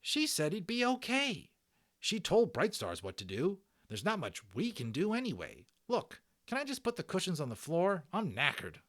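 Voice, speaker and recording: A man acts a practical young English boy in a children's storybook. The voice is pushed higher and jumps around. He is blunt, impatient and teasing. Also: huge pitch swings, normal speed, a bit bright, full character voice. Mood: impatient, tired. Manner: blunt, exclamatory.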